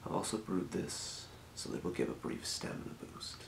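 A man playing a calm fantasy potion shopkeeper in a breathy, measured, courteous whisper for an ASMR role play. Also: low voice, echoey room, noisy background, exaggerated voice. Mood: calm, helpful. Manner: measured, murmuring, courteous merchant patter. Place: small indoor room.

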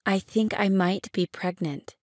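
A woman reading short English phrases for a language lesson, saying each one three times, slowly and clearly. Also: mid-range voice, darkish tone, no room sound, studio quality. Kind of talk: slow repeated phrase reading.

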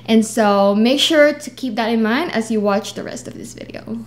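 A young woman from the Philippines, a native Tagalog speaker, chats through a casual vlog in a slightly high voice. Her talk is loose and friendly, full of "like" fillers. She is recorded in a small living room on a camera mic. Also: moderate pace. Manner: chatty, many "like" fillers, animated hand gestures. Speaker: vlog co-host.

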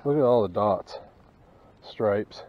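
A man's voice: on the low side, slow, slight background noise. Laid-back Southern man fishing on a river. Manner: relaxed, mumbled asides while fishing, cursing at fish. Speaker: fishing channel host. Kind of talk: casual fishing vlog commentary. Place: small boat on a wooded river outdoors. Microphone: action camera on the boat. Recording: slightly dull outdoor camera audio.

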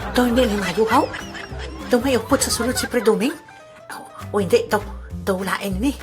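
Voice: mid-range voice, moderate pace, over music, lightly acted. A performer playing a nosy, easily shocked villager with a Filipino accent in a comic audio drama, muttering hesitant asides. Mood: suspicious, flustered. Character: Albedo, nosy, easily shocked village man eavesdropping on friend.

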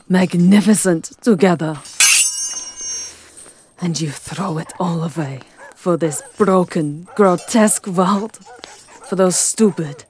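A woman voicing a seductive, possessive, aristocratic vampire countess in a theatrical, deliberate ASMR roleplay, with breathy whispers. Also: fairly low pitch, darkish tone.

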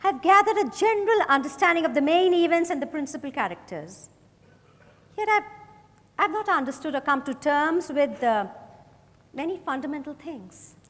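A woman's voice: high voice, animated, normal speed, a bit bright. A woman acting a probing, accusatory female detective in a theatrical dramatized stage reading. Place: large hotel ballroom stage. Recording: echoey hall, picked up from the audience.

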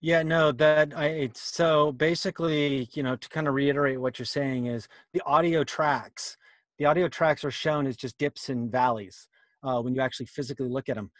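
A man in his fifties explaining things casually in a halting, think-aloud way on a video call. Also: mid-range voice, steady tone, fairly bright tone, no echo. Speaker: workshop presenter. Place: small cluttered indoor room.

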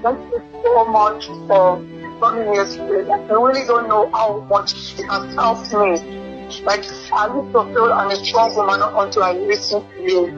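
A young woman in an emotional personal phone conversation, speaking haltingly and often repeating her words. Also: mid-range voice, animated. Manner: halting, repetitive phrasing. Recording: band-limited leaked phone call with music. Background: music.

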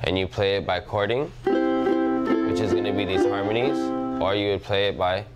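Young man from the Big Island, deep, laid-back interview voice, ukulele music behind. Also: fairly even intonation, normal speed, rough recording. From Big Island of Hawaii. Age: young adult. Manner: easygoing, fond of "you know". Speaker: ukulele player and teacher. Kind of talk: relaxed interview answers. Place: ukulele shop, indoors.

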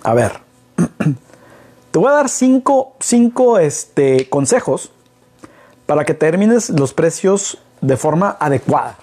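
Mexican man explaining, dark husky voice. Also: fairly high pitch, very animated, takes it slowly, pauses often. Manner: explaining with hand gestures, rhetorical questions. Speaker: business consultant. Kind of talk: casual business talk to camera. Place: living room, seated in an armchair. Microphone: far camera.

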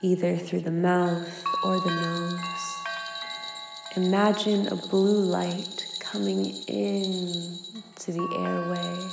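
A woman leading a guided meditation in a soft, soothing, unhurried manner with long pauses between phrases. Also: lowish voice, flat delivery, gravelly. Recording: long echoing reverb. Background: singing bowls and ambient music.

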